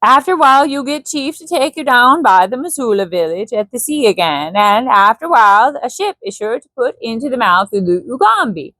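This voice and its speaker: A woman's voice: fairly high pitch, very animated, a little fast, hardly any pauses, pushed and bright, no room sound, exaggerated voice, low-quality recording. A woman voicing a blunt, loyal Swedish male jungle guide who speaks broken English with a Swedish accent. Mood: earnest. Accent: Swedish (put on).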